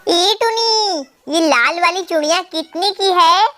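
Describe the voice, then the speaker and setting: A woman's voice: extremely high pitch, a little slow, sharp tone, heavily acted. A woman voicing a nosy, haggling female crow in a children's cartoon, full of exclamations. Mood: haggling, indignant. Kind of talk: Hindi children's cartoon dialogue.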